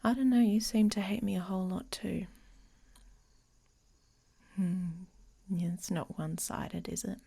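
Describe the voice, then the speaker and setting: A woman playing a grumpy, guarded female werewolf in an intimate ASMR roleplay, speaking in a halting, breathy, often whispered murmur. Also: medium pitch, steady tone, warm tone. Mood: vulnerable, hesitant.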